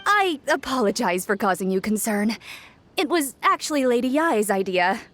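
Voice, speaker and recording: A woman's voice: a little high, sing-song, normal speed, a bit bright, slight background noise. A woman voicing a polite, apologetic young shrine maiden in a video game.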